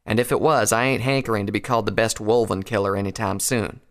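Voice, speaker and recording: A man's voice: fairly low pitch, fast talker, natural voice. A man voicing a plain-spoken, sober female country pony soldier with a blunt, folksy manner. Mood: somber.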